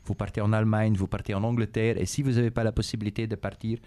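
A middle-aged Belgian executive whose first language is Dutch, speaking French with a Flemish accent and answering questions in a measured way, with frequent hesitations. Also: male voice, on the low side, little pitch movement, mellow. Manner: measured, repeats himself, frequent "euh" and "et cetera". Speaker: business executive interviewed by schoolchildren. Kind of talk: calm explanatory interview answers.

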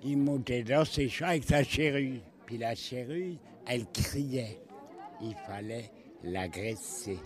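A man of about eighty from northern France with a Picard accent, telling anecdotes in dialect in a folksy, animated way. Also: medium pitch, slow pace. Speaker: local elder interviewee.

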